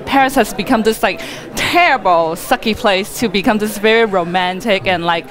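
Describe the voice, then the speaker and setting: A woman's voice: mid-range voice, moderate pace, a crowd in the background. Chatty woman giving rambling interview answers. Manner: rambling and chatty, frequent "like" as filler. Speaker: wedding photographer being interviewed.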